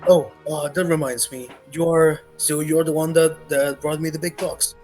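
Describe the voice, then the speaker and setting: A man running a casual tabletop roleplay as game master, talking in a halting way as he thinks aloud. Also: fairly high pitch, little pitch movement, a little mellow. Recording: music playing behind the voice. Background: background music.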